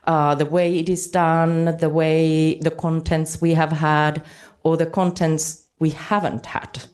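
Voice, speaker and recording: Lady running a conference session, slow halting talk, big hall, checks in with the crowd a lot. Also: low voice, level tone, a little mellow. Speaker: conference moderator. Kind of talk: explaining a plenary activity to a live audience.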